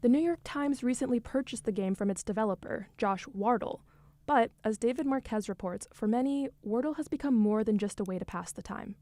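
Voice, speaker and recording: Woman radio co-host, crisp read. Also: a little high, a little fast, warm tone, no room sound. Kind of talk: radio show presenting.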